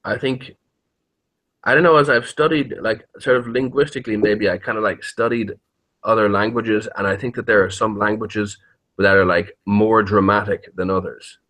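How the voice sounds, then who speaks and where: A man's voice: on the low side, steady tone, moderate pace, fairly bright tone. A man chatting casually as an interview guest, rambling and hesitant, with a joking streak and easy swearing. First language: English. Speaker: interviewed YouTube horror story narrator.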